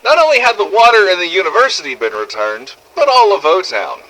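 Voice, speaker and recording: Man playing a sardonic zombie survivor, animated and bright. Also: high-pitched, fairly quick, voice pushed up, full character voice, rough recording. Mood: matter-of-fact. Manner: sardonic, exasperated, swearing. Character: weary, sarcastic male survivor of a zombie outbreak. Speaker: narrator of fan-made game audio logs. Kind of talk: first-person scripted audio log narration.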